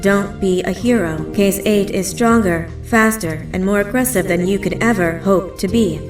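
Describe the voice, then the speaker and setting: A woman playing a composed facility announcer, narrating an employee safety video in a horror game with measured, formal delivery. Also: mid-range voice, lightly acted. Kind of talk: scripted corporate training narration in a horror game. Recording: echoey, distant, music underneath. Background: music.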